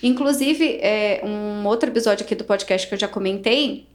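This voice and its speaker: A Brazilian woman, an English teacher, telling travel stories in a casual podcast chat, animated and acting out quoted voices. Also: medium pitch, normal speed. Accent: Brazilian Portuguese. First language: Portuguese.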